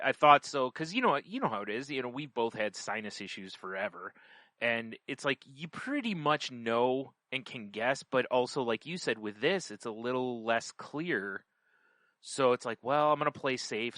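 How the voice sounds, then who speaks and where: Guy podcast host just rambling stories, bright punchy voice, dry room no echo. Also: mid-range voice. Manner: rambling, conversational, quotes himself and others. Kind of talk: casual chat, personal anecdotes.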